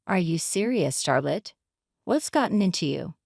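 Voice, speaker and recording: Female narrator as hurt, indignant wife, pleading yet confrontational, animated intonation, dry story recording. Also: fairly low pitch, moderate pace, gravelly, no echo, heavily acted. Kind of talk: dramatized story reading.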